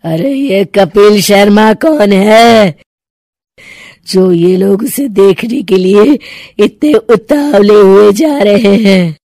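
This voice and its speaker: A woman's voice: mid-range voice, mellow, no room sound, clean studio sound. A woman voicing a menacing female witch in a cartoon story, with gloating, taunting, cackling delivery.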